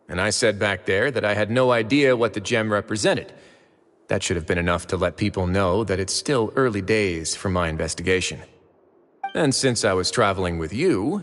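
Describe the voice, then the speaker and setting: An actor playing a composed, polite man in charge, speaking in a measured, formal way. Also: fairly low pitch, fairly bright tone, in a large hall, lightly acted. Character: composed male warden, calm and courteous.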